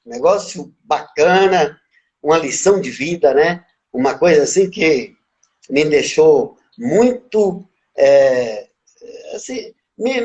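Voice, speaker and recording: A relaxed man in his fifties with a faint Bahian accent, speaking in a rather high voice. He reflects on his past as an interview guest, unhurried and conversational. He often tags his thoughts with little questions to the listener. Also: lo-fi sound. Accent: Bahian Portuguese. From Brazil, born in Minas Gerais, raised in Bahia. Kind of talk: casual life-story interview.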